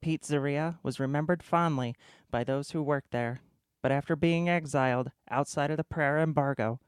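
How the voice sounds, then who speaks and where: A man playing a solemn, deadpan storyteller who narrates a comic mock-epic radio drama in a measured way. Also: fairly high pitch, clear, resonant tone, no echo, studio quality, natural voice.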